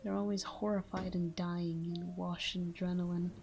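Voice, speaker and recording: A woman playing a tender, melancholy vampire lover in an intimate ASMR monologue, speaking softly and slowly in a breathy half-whisper, close to the microphone. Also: on the low side, level tone, slight background noise. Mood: hushed, reminiscing. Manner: slow, hushed, wistful delivery. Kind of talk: intimate ASMR sleep-aid roleplay monologue. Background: soft background music.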